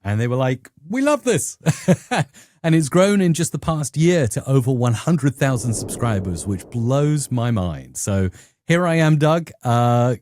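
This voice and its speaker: A middle-aged man with a southern English accent, telling stories conversationally as an interview guest, often acting out quotes in an animated manner. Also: medium pitch, a little slow, no room sound. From England. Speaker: YouTube educator and interview guest.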